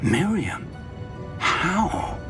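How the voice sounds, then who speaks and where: An actor with a British accent playing a learned older wizard professor in a video game, speaking in a measured, dry, formal way. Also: male voice, high voice, big pitch swings, loud music behind the voice, full character voice. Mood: puzzled, serious. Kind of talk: video game cutscene acting.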